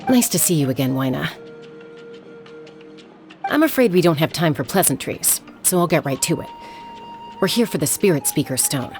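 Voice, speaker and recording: A woman's voice: low voice, animated, normal speed, long pauses. An actress playing a cool, confident female peacekeeper in an anime game dub, composed and assured. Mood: calm, direct. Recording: loud game music behind.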